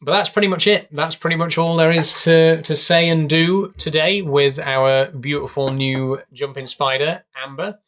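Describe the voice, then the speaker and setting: Young man, casual stream host, bright tone, rambling delivery. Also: on the high side, normal speed, hardly any pauses. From England. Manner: rambling, relaxed, many fillers. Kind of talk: casual live stream chat. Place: bedroom at home.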